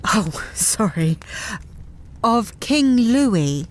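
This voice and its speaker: A woman's voice: on the high side, sing-song, very slow, heavily acted. A woman playing a brash, irritable starship captain in an audio drama, with a blunt, exasperated delivery. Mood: flustered.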